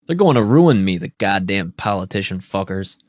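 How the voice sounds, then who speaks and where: A man acts out a drunk, frail company boss with a country twang, coarse and snarling. His voice is low and gravelly, a touch louder than the narration, recorded dry. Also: steady tone, normal speed, no room sound, lightly acted. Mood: furious. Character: drunk frail older male chemical boss, vicious.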